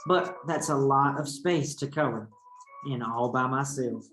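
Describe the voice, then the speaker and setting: Man voicing a weary, put-upon crayon, slow. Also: mid-range voice, fairly bright tone, soft background music, close to an everyday voice. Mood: weary, pleading. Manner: weary, pleading. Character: very tired, put-upon male gray crayon. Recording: roomy echo with background noise.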